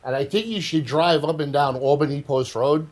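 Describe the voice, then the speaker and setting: A man's voice: fairly high pitch, normal speed, darkish tone. A man, a resident making a brief public comment at a planning board meeting. He speaks in a matter-of-fact way.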